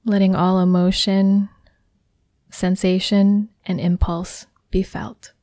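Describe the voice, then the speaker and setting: Mellow woman guiding meditation, close and dry. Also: mid-range voice, flat delivery, no room sound. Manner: soothing, unhurried, gently instructing. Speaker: meditation guide. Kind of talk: guided body scan meditation.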